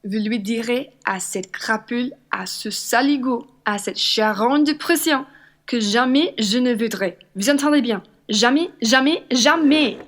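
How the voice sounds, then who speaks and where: High-voiced, defiant young woman played by an actress. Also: a little slow, a bit bright. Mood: defiant, indignant. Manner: defiant, blunt, emphatic. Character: proud, indignant young woman scorning a Prussian officer. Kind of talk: radio play acting.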